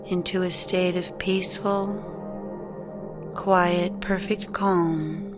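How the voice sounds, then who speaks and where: A woman guiding a meditation in a gentle, soothing, unhurried way, leaving long pauses between phrases. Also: on the low side, flat delivery. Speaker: meditation guide. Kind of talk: guided meditation narration. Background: music.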